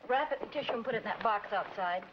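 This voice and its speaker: An actress playing a fretful, motherly, sharp-tongued secretary and bride in a 1940s film comedy. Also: mid-range voice, expressive, fast talker, background noise, close to an everyday voice. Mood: brisk, motherly. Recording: muffled 1940s film soundtrack, music behind.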